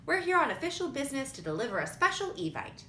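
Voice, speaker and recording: A woman's voice: big pitch swings, fast, a bit bright. A woman voicing a businesslike, faintly amused young man in an audiobook story. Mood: insistent, businesslike. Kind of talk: audiobook character dialogue. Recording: roomy echo with rumbling background noise.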